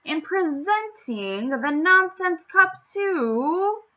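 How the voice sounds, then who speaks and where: A woman voicing a pompous storybook king who makes stately, drawn-out proclamations to a crowd in a children's read-aloud. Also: very high voice, big pitch swings, bright, forceful tone, muffled recording, pitched above the performer's own voice, exaggerated voice. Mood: grandly proclaiming.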